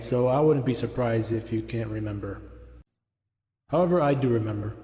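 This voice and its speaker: A man playing an earnest martial artist in an audio drama, speaking in a measured, encouraging way. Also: medium pitch, darkish tone, close to an everyday voice. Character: earnest male martial artist urging a friend. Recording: echoey, reverberant room.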